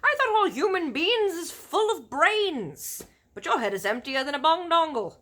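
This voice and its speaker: A woman's voice: extremely high pitch, sing-song, voice pushed up, full character voice. A woman voicing a huge, boisterous giant in a children's storybook, declaiming emphatically with mangled folksy grammar. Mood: indignant, blustering. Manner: loud, emphatic, drawn-out declaiming. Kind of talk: children's storybook read-aloud.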